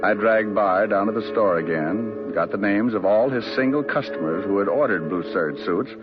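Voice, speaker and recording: A man's voice: on the low side, moderate pace, close to an everyday voice. A man playing a sardonic private detective who narrates and trades quips, in a 1950s radio drama. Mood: narrating. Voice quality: sardonic, clipped delivery. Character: wisecracking male private eye, dry and sardonic. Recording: dull lo-fi old radio broadcast with music.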